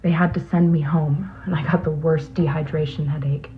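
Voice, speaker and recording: A woman's voice: low-pitched, fairly even intonation, moderate pace, lightly acted. A woman playing a troubled botanist leaving a hesitant, confessional recorded message for an old friend in an audio drama. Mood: wistful. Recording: muffled, noisy lo-fi tape message.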